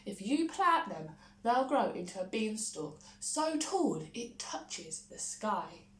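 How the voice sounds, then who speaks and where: A woman voicing a strange, sly little man trading in a storybook, persuasive and deliberate. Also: medium pitch, very animated. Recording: roomy echo, faint background noise.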